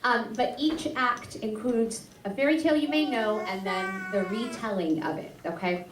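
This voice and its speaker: Higher-voiced woman addressing a roomy venue. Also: moderate pace. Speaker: teacher introducing a student show. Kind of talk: informal welcome speech to an audience. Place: small performance venue, recorded from afar. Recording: roomy, distant, faintly noisy audience recording.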